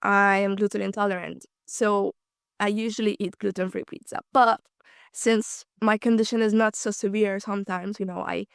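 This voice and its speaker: A woman's voice: mid-range voice, level tone, moderate pace, vocal fry, clean tone, dry recording, clean studio sound. A woman in her twenties from Slovakia, a native Hungarian speaker, chatting casually as a podcast guest with frequent ums and light laughs. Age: 20s. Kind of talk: casual conversational interview.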